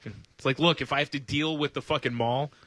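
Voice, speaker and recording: Male podcast co-host, casual and sarcastic, swears freely, bright forward voice, dry close recording. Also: mid-range voice, steady tone, a little fast, no room sound. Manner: sarcastic, joking, profane. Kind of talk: casual comedic banter, ranting about news.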